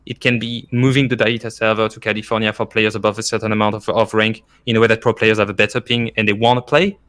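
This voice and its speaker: A man's voice: lowish voice, flat delivery, fast, a bit bright. A man from France with a French accent, explaining his view emphatically in casual discussion as a podcast guest. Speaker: former esports coach, podcast guest.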